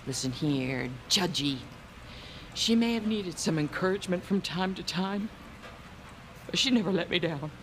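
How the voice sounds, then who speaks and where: A woman's voice: lowish voice, normal speed, long pauses, a little hiss or hum, close to an everyday voice. A woman acting in a video game as a tough, brash female shop owner, blunt and sardonic, drawing out her words. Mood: defensive.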